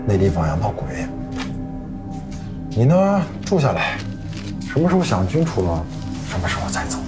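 An actor playing a cocky young gang enforcer who makes casual threats in a taunting, swaggering drawl. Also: male voice, lowish voice, very animated, moderate pace, music in the background. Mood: taunting menace. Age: young adult. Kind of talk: screen drama acting. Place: furnished room indoors.

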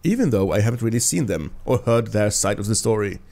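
Man, Swedish accent, native Swedish speaker, creaky voice, lively intonation, casual gaming commentary, hesitant rambling delivery. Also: on the low side, fast talker. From Sweden. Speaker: gameplay host. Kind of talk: casual let's play commentary.

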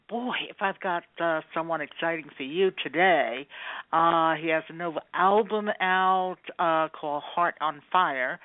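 A woman's voice: low-pitched, no room sound. A woman hosting an internet radio interview show, enthusiastic and full of fillers. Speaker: radio host. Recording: dry tinny phone line.

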